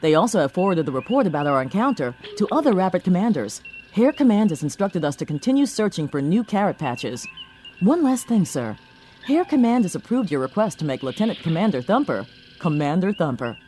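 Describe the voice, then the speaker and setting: A dutiful rabbit communications officer voiced by a woman reports formally with lively intonation. Faint music sits behind this space opera audio drama performance. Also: lowish voice, a little fast.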